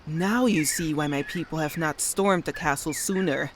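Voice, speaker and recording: An actor voicing an earnest, formal guide from a fantasy realm in a comic-book dub. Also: male voice, on the high side, normal speed, a little hiss or hum, natural voice. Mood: solemn. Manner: formal, grave.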